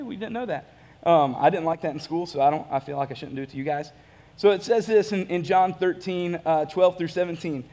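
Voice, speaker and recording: A man's voice: a little high, a little mellow. A man, preaching a casual, joking sermon from a large church stage. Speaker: pastor.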